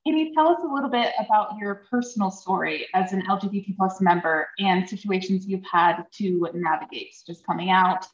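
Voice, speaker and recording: A woman's voice: mid-range voice, brisk, few pauses, highs cut off. Echoey, polite woman hosting a podcast. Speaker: podcast host and interviewer. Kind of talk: scripted podcast introduction and interview questions.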